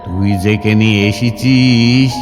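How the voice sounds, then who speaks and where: An ominous man's voice taunts like an inner thought in an audio drama. It is very slow and drawn-out, swimming in long reverb over faint music. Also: mid-range voice, steady tone. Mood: ominous, taunting. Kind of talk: Bengali audio play acting.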